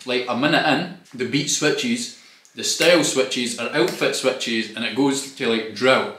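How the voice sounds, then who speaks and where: A young Scottish man hosts a laid-back chat in his home studio, full of broad dialect slang. His voice sits in an ordinary middle pitch and carries a roomy echo. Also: a little slow, fairly bright tone. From Scotland. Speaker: host. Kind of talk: casual chat.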